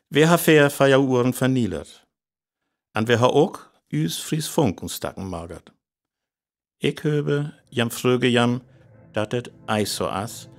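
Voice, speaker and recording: A man with a North Frisian accent giving a scripted closing address to radio play listeners. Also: medium pitch. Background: soft music.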